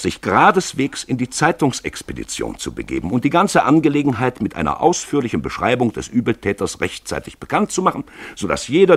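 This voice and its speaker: Husky, highly animated male storyteller. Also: dry recording. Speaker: narrator. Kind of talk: scripted radio play narration, storytelling.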